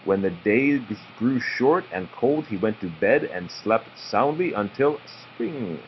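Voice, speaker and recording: A man reading a children's storybook aloud like a father, with expressive, deliberate phrasing. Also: medium pitch. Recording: muffled, faintly noisy home recording.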